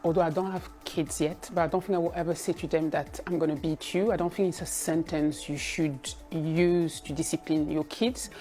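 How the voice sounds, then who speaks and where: A woman from Burkina Faso, raised in Belgium, giving a measured, thoughtful account in an interview. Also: deep voice, fairly even intonation, dark tone, faint music behind.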